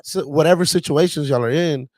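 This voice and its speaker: A man's voice: fairly high pitch, brisk, darkish tone, no echo. A man in his twenties explaining his views thoughtfully in a casual group podcast chat. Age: 20s. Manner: reflective, explaining step by step. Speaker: podcast panelist.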